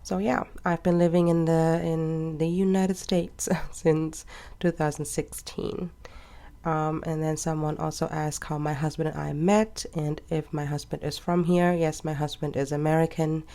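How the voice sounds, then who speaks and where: A woman's voice: low-pitched, level tone, unhurried. A woman in her twenties chatting casually and rambling a little as she decorates her planner. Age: 20s. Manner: chatty and rambling, with soft laughs. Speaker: planner vlogger. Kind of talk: casual chat while planning.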